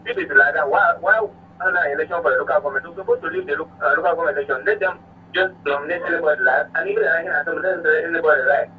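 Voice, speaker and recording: Nigerian man, high voice, earnest phone-in caller, narrow tinny line, humming noise. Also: rapid-fire. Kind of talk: earnest political opinion on a radio phone-in. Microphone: telephone.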